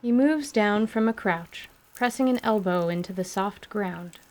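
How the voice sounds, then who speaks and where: A woman narrating a scripted fantasy tale in a measured, literary radio theatre style. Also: medium pitch, a little mellow. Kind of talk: scripted descriptive story narration. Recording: faint background noise.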